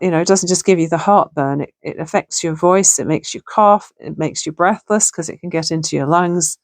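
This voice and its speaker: A middle-aged woman talks through a craft project in a relaxed, chatty way, musing aloud as she works. Her voice sits fairly low and sounds gravelly and a little hoarse. The sound is close and dry, with almost no room around it. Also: no room sound. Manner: chatty, thinking aloud while working. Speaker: crafting channel host. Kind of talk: casual crafting tutorial chat. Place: home sewing room.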